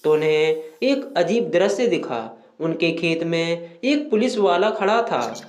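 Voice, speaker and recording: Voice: mid-range voice, expressive, unhurried, darkish tone, roomy sound. A narrator reading a scripted moral story.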